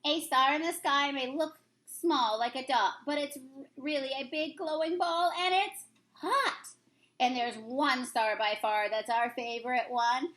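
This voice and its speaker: A woman teacher reading a rhyming picture book aloud to children in an expressive, sing-song storybook manner. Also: sits high.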